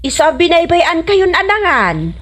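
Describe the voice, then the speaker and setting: A woman's voice: very high voice, very animated, measured pace, a bit bright, exaggerated voice. An actress playing an exasperated, grumbling older neighbour woman who scolds, in a comedy radio drama. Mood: scolding, exasperated.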